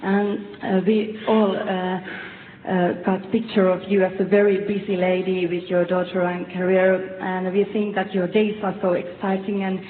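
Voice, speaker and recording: A woman's voice: mid-range voice, little pitch movement, moderate pace. Woman radio reporter, from Finland, hesitant, very roomy hall sound, phone-like band. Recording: very echoey hall, tinny narrow band, faint noise.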